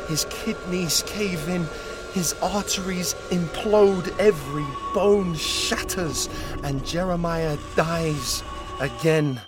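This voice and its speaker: A man reading a dark horror story aloud, intense, swinging between urgent peaks and low hushed passages. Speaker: audiobook reader. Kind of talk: scripted dramatic horror narration.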